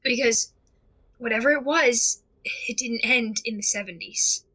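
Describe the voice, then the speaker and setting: An actress playing a frightened, isolated young investigator in an audio drama monologue, speaking haltingly and uneasily with restarts. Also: female voice, a little high, pushed and bright, husky, heavily acted, poor audio. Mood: anxious, fearful. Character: frightened isolated young investigator unravelling over mysterious tapes.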